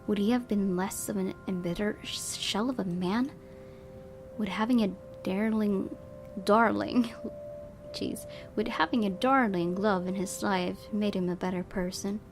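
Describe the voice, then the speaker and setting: A woman reads visual novel narration aloud for a gaming video. Her mid-pitched voice is expressive and wistful as she stays in character. Faint music plays underneath. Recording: music behind the voice.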